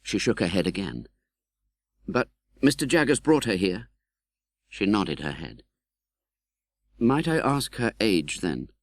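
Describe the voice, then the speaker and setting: A British man playing an earnest, tender-hearted gentleman who recounts his own life in a dramatic, measured audiobook narration. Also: lowish voice, big pitch swings, no echo, studio quality, heavily acted. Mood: subdued. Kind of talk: dramatic literary audiobook narration in first person.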